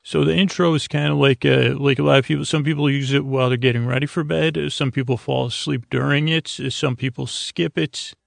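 A man hosting a bedtime podcast in a casual, meandering monologue, halting and self-deprecating, often trailing off mid-thought, with a gravelly voice. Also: medium pitch, steady tone, no room sound, clean studio sound. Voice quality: gravelly, creaky. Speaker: podcast host.

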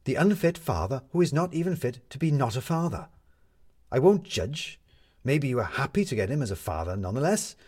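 A man's voice: mid-range voice, big pitch swings, fairly quick, a little mellow, husky, voice pushed up. A man voicing a commanding, wry woman reporter in a story, articulate and sardonic as she lectures. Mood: cutting.

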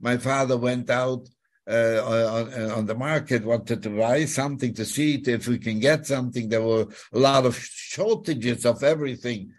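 A man in his nineties from Poland, with a Polish accent, giving personal testimony in a halting way, often repeating words and phrases. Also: medium pitch. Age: 90s. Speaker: guest, Holocaust survivor telling his story.

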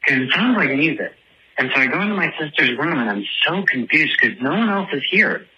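A man's voice: fairly high pitch, lively intonation, brisk, pushed and bright, a little hiss or hum. A man leaving a casual voice message for a show, telling a story in a chatty, dramatic, self-deprecating, motormouth way on a phone-like recording. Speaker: listener calling in with a voice message.

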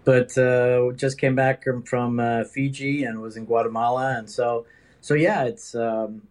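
A man from the Netherlands answering interview questions as a doctor, conversational, with hesitant, halting phrasing. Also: medium pitch, steady tone. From Netherlands, raised in several countries. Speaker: interview guest, regenerative medicine doctor.

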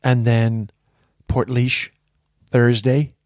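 A man's voice: mid-range voice, little pitch movement, extremely slow, a little mellow, dry recording. A man in his forties with an American accent hosting a podcast in casual chat, rambling with frequent restarts. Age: 40s. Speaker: podcast host.

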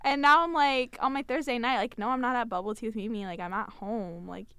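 A woman's voice: fairly high pitch, fairly quick, fairly bright tone, clean tone, dry recording. A young woman co-hosting a podcast, chatting casually and animatedly, peppering her talk with "like" and laughing often. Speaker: podcast co-host. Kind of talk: casual group chat.

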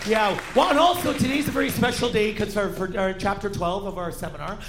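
A man's voice: sits high, brisk, fairly bright tone, a faint crowd, slight background noise. A man co-hosting a live comedy show as a mock seminar, bantering and repeating his phrases. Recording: echoey live stage mic, music behind.

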